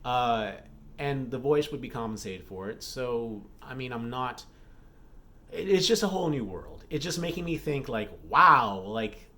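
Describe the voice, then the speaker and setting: A man's voice: mid-range voice, expressive, a little slow, pushed and bright. Rambling man in casual vlog chat. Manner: rambling, thinking aloud, repeats and self-corrects. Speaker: romance author and vlogger. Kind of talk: casual unscripted vlog talk.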